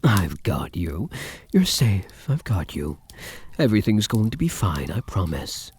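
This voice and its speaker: A man performs a possessive, doting vampire count in an intimate ASMR roleplay. The voice is dark and breathy, murmured slowly and slipping often into whispers, with tenderness under the menace. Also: sits low, big pitch swings, full character voice. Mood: gentle, reassuring. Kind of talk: soft-spoken ASMR roleplay.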